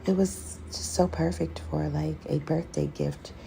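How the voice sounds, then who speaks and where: A woman with a gravelly, creaky voice giving a halting, conversational explanatory voiceover as the host of an ASMR video. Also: low voice, fairly even intonation, dark tone, rough recording. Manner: unhurried, conversational, halting with pauses. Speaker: host, scratch therapy practitioner. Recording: clearly audible background noise.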